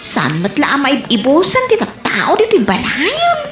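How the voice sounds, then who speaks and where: Lady doing a cheeky excitable girl for a radio comedy, really bright voice, roomy echo. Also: sits high, normal speed, soft background music, heavily acted. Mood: exasperated. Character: cheeky, lively young household girl, teasing her elders. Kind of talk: comedy radio drama acting.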